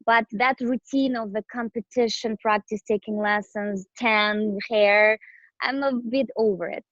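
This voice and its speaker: A woman's voice: on the high side, fairly even intonation, a little slow, dry recording, highs cut off. A bubbly woman chatting casually as an interview guest, a professional dancer whose native language may be Romanian. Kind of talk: casual chat.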